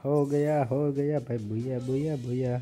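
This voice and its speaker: A man's voice: medium pitch, steady tone, measured pace, dark tone, soft background music. A young man with an Indian accent giving casual mobile game commentary, often repeating short phrases. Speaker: gaming video host.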